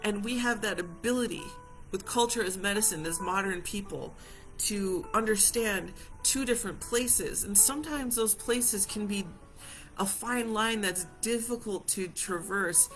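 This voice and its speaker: A woman from Hawaii leading a reflective live session as a community healer, speaking gently and reassuringly to viewers and repeating phrases for emphasis. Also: medium pitch, unhurried, faint music behind, poor audio.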